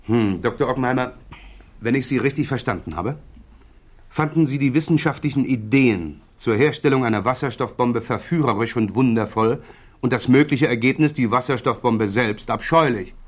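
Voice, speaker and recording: A man's voice: medium pitch, moderate pace, close to an everyday voice. A male actor in a 1960s German radio drama playing a sceptical interrogator, formal, precise and insistent. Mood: probing, sceptical.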